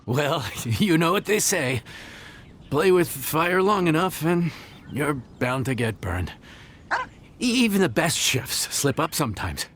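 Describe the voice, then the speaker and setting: An actor playing a proud, stubborn inn chef in a video game, flustered and defensive, with hesitant stammers. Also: male voice, fairly high pitch, big pitch swings, slow, fairly bright tone, a little hiss or hum, exaggerated voice. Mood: embarrassed. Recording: music playing behind the voice.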